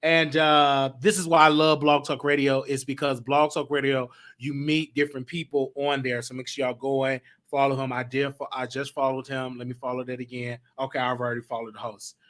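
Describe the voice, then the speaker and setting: An American man hosting a live call-in show in a casual, animated, repetitive way. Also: medium pitch, brisk, few pauses. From Chicago, United States. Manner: animated, repeats himself. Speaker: online call-in show host.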